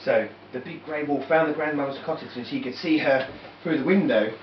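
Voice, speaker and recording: A woman's voice: sits low, brisk, recorded from a distance. A woman telling a children's story as an amateur puppet-show narrator, sometimes stumbling over her words. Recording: dull, noisy, echoey home-video from afar.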